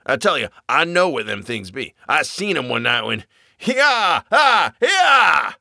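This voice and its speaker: A man's voice: medium pitch, animated, moderate pace, creaky, bright, forceful tone, dry recording, studio quality, exaggerated voice. A man doing a raving half-mad old man. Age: older. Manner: rustic old-timer dialect, feverish raving. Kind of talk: audiobook character reading.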